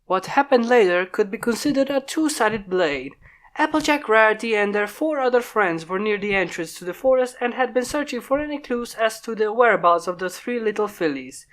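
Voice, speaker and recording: A woman reads a fan story aloud in a steady, measured voice. Her pitch sits in an ordinary middle range. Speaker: fan fiction reader. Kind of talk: storybook narration.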